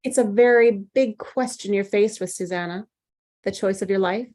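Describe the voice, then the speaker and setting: A woman playing a composed, probing psychiatrist in a screenplay table read, speaking in a deliberate, clinical way. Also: mid-range voice, darkish tone, close to an everyday voice. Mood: calm, authoritative. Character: composed, probing female psychiatrist, coolly authoritative.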